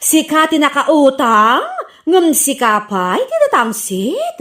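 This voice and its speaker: Woman acting a high, feisty Ilocano scolder. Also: very animated, a bit bright, exaggerated voice. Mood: angry scolding. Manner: brash, taunting, drawn-out. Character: feisty, scolding Filipino who picks fights unafraid. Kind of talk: Ilocano radio comedy drama acting.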